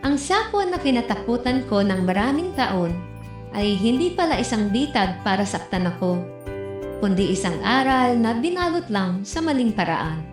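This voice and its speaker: Woman, highish voice, dramatic story narration, music underneath. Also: very animated, normal speed. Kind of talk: scripted first-person story narration. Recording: roomy echo with music behind.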